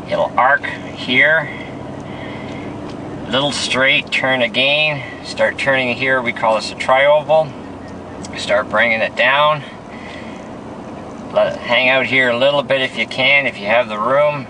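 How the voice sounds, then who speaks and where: A man in his forties, a race car driver, talking through a lap in short clipped instructions from inside a moving car. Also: medium pitch. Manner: terse, clipped driving instructions. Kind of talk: lap walkthrough commentary. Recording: distant mic with road noise.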